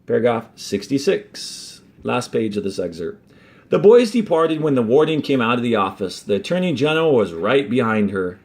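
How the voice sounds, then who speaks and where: A man reading a story aloud as a teacher, deliberately, with instructional asides to those following along. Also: medium pitch, very animated. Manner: deliberate, explains punctuation and page cues as he reads. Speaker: fourth grade teacher reading aloud to a class.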